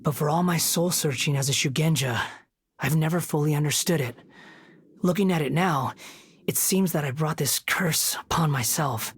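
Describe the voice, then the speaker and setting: A woman's voice: normal speed, husky, no room sound, studio quality. A woman voicing a brooding young puppet wanderer. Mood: earnest. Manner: low, subdued, earnest. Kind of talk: video game cutscene dialogue.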